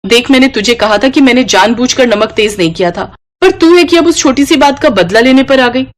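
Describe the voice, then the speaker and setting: Woman playing a feisty young daughter-in-law, higher-pitched. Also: expressive, a little fast, close to an everyday voice. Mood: angry, reproachful. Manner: teasing and quarrelsome. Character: playful, hot-tempered young Indian daughter-in-law.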